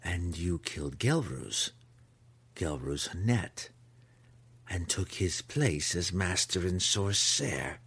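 A man voicing a menacing, imperious female dark elf priestess who speaks slowly and commands. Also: lowish voice, sing-song, slightly rough, pitched above the performer's own voice, full character voice. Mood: suspicious, snarling. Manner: slow, deliberate, commanding.

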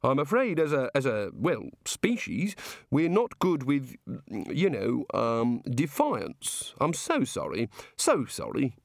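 A man's voice: medium pitch, wildly swooping pitch, takes it slowly, vocal fry, no echo, clean studio sound, heavily acted. A man voicing an absent-minded, inquisitive and testy god who speaks in a hesitant, rambling, apologetic way. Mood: flustered, apologetic.

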